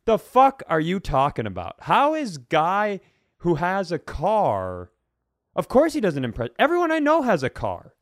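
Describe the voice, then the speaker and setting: A man's voice: sits high, huge pitch swings, measured pace, dry recording, clean studio sound. A man co-hosting a comedy podcast in casual banter, emphatic and sweary with mock outrage.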